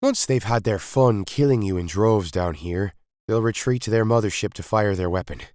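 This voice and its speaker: A man's voice: fairly low pitch, moderate pace, darkish tone, no echo, clean studio sound, voice pushed up, natural voice. A man voicing a grave, emphatic Time Lord hero in an audio story, urgent and commanding.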